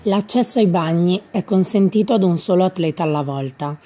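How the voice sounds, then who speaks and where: A woman's voice: lowish voice, fairly quick. A woman reading scripted instructional narration, addressing young athletes in an explanatory manner. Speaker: gym staff narrator. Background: background music.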